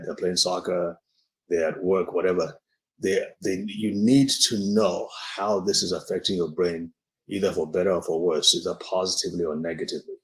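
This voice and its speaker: Deep-voiced man giving a live health talk. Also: rough recording. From Nigeria. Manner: earnest, repeats words and restarts while explaining. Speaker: doctor hosting a live health broadcast. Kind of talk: live-stream health talk answering viewer comments.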